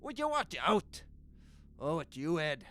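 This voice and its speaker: An actor playing a small, hurried townsman in an audio drama, brusque and offhand. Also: a little high, big pitch swings, sharp tone, heavily acted.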